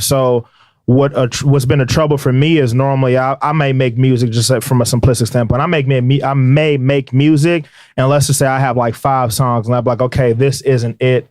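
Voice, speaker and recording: A man with a noticeably nasal voice talks loosely and at length, filling gaps with ums and you-knows. His voice sits at an ordinary pitch. He is recorded close on a studio mic with a dry, deadened sound. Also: darkish tone, no echo. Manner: laid-back, rambling with fillers. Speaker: rapper guest. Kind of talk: casual interview talk. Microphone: studio microphone.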